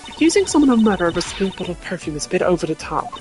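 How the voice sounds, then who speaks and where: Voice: medium pitch, big pitch swings, very fast, music in the background, voice pushed up, natural voice. A streamer voicing a smug, mocking young woman suspect from a video game, teasing, dismissive and theatrical. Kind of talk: video game character voice acting.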